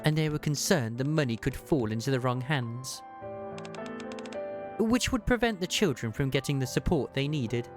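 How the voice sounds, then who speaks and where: A man voicing an ancient, knowing woman from a video game, who recalls things fondly in measured, formal speech. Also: medium pitch, lively intonation, over music, natural voice. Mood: fond, earnest. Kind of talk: read-aloud video game dialogue.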